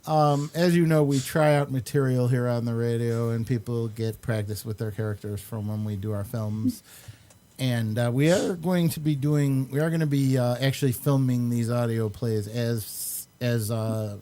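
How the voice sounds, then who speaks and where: A man hosting a radio show in a casual, unscripted way, with halting, hesitant phrasing. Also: medium pitch, steady tone, warm tone.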